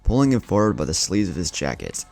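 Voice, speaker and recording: A man's voice: low voice, big pitch swings, fast talker, creaky, a little mellow. A man narrating a scripted fan fiction story as a channel storyteller, with music playing behind him. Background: background music.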